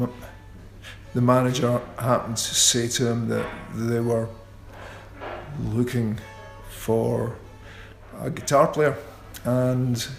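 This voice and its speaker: A middle-aged man guesting in a radio interview, talking in an unhurried, self-deprecating and wry way. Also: medium pitch, gravelly. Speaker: guitarist guest. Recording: roomy echo.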